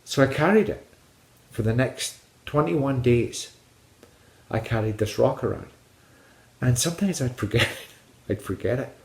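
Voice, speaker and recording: A man from Scotland who vlogs about hiking, telling personal stories casually to his viewers in a rambling, halting way that often repeats phrases. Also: mid-range voice, lively intonation, gravelly, slight background noise. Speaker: hiking vlogger. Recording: echoey room.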